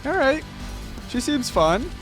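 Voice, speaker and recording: A man's voice: extremely high pitch, big pitch swings, slow pace. Casual man reacting over music. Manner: informal, thinking aloud. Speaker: host of a reaction channel. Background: music from the video being watched.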